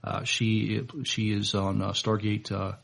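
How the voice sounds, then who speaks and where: A man co-hosting a casual podcast, speaking in a halting, hesitant way with many fillers and pauses. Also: on the low side, completely flat delivery, gravelly. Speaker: podcast co-host.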